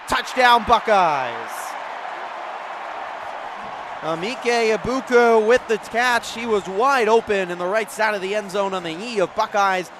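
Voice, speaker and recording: A man doing live radio play-by-play, moving between measured setup and urgent calls. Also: sits high. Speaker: play-by-play sports announcer. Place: outdoor stadium broadcast position. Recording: background noise of an outdoor stadium.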